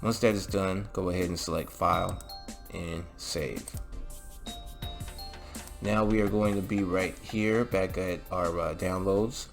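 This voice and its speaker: A man's voice: low-pitched, fairly even intonation, unhurried, gravelly, long pauses. A man narrating a casual step-by-step tutorial as a channel host, with an informal, instructional way of talking. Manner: informal, instructional, often tagging phrases with "all right" and "okay". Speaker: host of a game-modding channel. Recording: music playing behind the voice. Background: background music.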